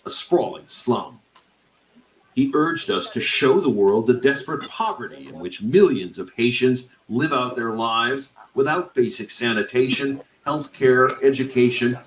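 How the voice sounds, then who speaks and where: A male reporter reading a scripted news report in a measured, even delivery. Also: mid-range voice, reverberant, low-quality recording.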